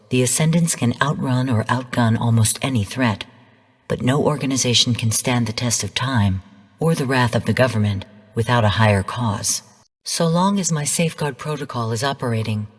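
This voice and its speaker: Give the game a stern female artificial intelligence, heard through long hall reverb. Her voice is low and a little husky. She briefs formally and analytically, in measured, composed phrases. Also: heavily acted. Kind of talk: scripted game narration.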